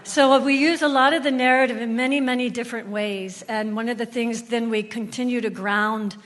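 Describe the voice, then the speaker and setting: A woman's voice: a little high, fairly even intonation, fairly quick, fairly bright tone. A woman talking animatedly and emphatically as a theatre guest in an interview on stage. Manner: enthusiastic, emphatic. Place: on stage before a live audience.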